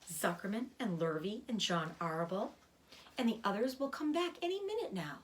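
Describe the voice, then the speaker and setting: Woman doing a gentle old-soul spider for a kids storybook, calm, tired, breathy, slow and hushed. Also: medium pitch, slight background noise, natural voice. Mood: quietly firm. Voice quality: soft, breathy, often whispered. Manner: slow, measured, soothing delivery. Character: gentle, weary dying female spider, calm and wise. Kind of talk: children's storybook read-aloud.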